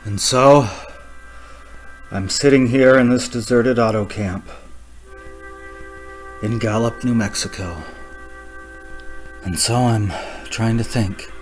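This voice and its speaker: An actor playing a frightened, haunted man traveling alone in a suspense radio play, speaking intensely and haltingly. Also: lowish voice. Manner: intense, halting, repeating words. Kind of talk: suspense radio play acting, dramatic narration.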